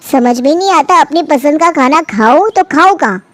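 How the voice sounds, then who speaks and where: A woman's voice: high-pitched, lively intonation, moderate pace, fairly bright tone, dry recording. A woman voicing a frustrated young man, a younger brother who grumbles wearily, in a cartoon family story. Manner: grumbling, weary.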